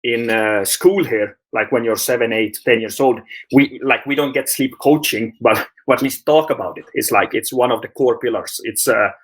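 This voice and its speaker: A man's voice: medium pitch, moderate pace, fairly bright tone, breathy edge. A man from Finland, a sleep coach, answering interview questions in a hesitant way, with many fillers, restarts and laughs.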